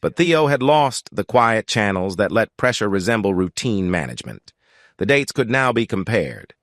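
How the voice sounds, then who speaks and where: A man giving scripted first-person story narration in an even, reflective way. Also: lowish voice, moderate pace, no room sound, clean studio sound.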